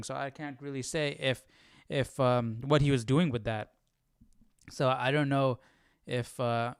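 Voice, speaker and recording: A man's voice: medium pitch, clear, resonant tone, no echo, studio quality. A man hosting a Bible study, casually commenting and thinking aloud with frequent questions and check-in tags. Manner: questioning, often adds "right?".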